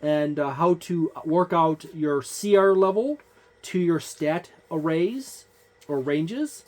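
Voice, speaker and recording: A reviewer giving a casual page-by-page overview of a game book, listing entries in a halting way with frequent fillers and restarts. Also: mid-range voice, lively intonation, darkish tone. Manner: offhand, informal wording.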